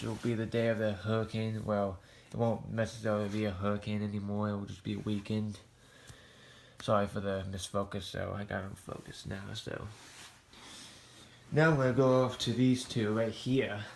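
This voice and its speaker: American man, fairly low voice, monotone, halting casual show-and-tell, slightly noisy amateur recording. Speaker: hobbyist vlogger showing a radio collection.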